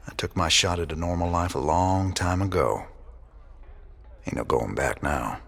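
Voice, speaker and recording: An actor playing a world-weary, principled middle-aged rebel commander with a laconic, folksy Southern US drawl in a video game. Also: male voice, animated, moderate pace, fairly bright tone, full character voice. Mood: weary, resigned. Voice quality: low, gravelly. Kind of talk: video game cinematic acting.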